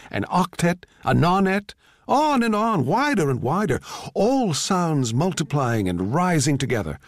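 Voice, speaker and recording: A man playing an excitable, cocky, childlike young composer with a gushing, emphatic way of talking, in a dramatic play reading. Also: medium pitch, no room sound, clean studio sound, voice pushed up.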